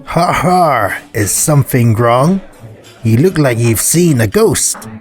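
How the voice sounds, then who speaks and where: A man's voice: medium pitch, expressive, slow pace, faint music behind. A man voicing a friendly, boisterous, chatty male bear for a visual novel. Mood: jovial.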